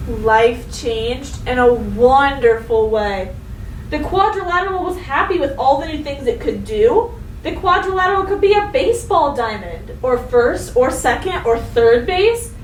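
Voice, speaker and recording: A woman schoolteacher giving a read-aloud lesson to young pupils, speaking instructively and directly, with expressive emphasis. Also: high voice, a little slow. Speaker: elementary school teacher. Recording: roomy, distant mic with faint noise.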